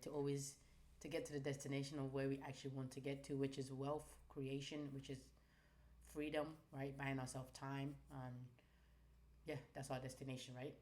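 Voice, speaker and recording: A woman's voice: extremely low pitch, roomy sound. A woman hosting a live trading lesson, talking emphatically and checking in with "right?" after her points. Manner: emphatic, ends phrases with "right?". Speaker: trading stream host.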